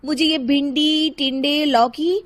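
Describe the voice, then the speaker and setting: A woman voicing an arrogant, sharp-tongued young housewife who talks rudely and scornfully in a cartoon story. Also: high-pitched, fairly even intonation, takes it slowly. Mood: haughty.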